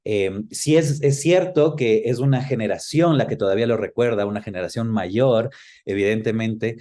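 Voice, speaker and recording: Low, mellow-voiced Ecuadorian man. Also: normal speed. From Quito, Ecuador. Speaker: interview guest. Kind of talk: reflective conversational reminiscence.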